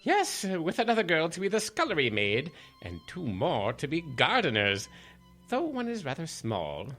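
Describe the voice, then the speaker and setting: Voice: medium pitch, wildly swooping pitch, moderate pace, a bit bright, faint music behind. A performer playing a pompous, doting, eccentric self-styled king in a comic radio drama, with theatrical, imperious delivery. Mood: smug, doting. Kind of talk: radio comedy audio drama acting.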